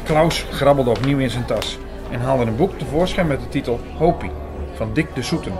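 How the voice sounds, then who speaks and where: A man narrating an audio drama in the first person, as an attentive, sceptical storyteller in a measured, bookish manner. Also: mid-range voice, close to an everyday voice. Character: Hugo, attentive, sceptical male listener and storyteller. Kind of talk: scripted first-person narration and reading aloud. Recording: music under the voice.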